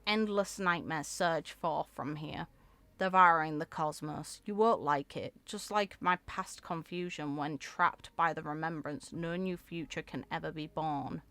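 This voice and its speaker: Low-pitched woman reading game lore aloud. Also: measured pace, a bit bright, dry recording. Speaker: video game content creator.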